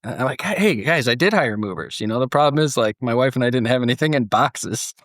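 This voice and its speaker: A man's voice: medium pitch, lively intonation, a little fast, fairly bright tone, no room sound. American guy hosting a podcast, chatty and jokey, really dry sound. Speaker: podcast host. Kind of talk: casual podcast talk.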